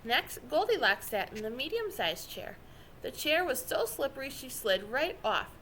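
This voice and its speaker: A woman reading a children's story aloud with expressive, dramatic narration. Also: high-pitched, moderate pace, slight background noise. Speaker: storybook reader.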